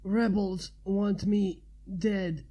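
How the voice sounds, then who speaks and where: Woman doing weary injured man, fantasy storybook figure, polite, weak, hesitant, mellow voice. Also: mid-range voice, steady tone. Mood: pained, fading. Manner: weak, halting, courteous. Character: weary wounded man, polite stranger mage.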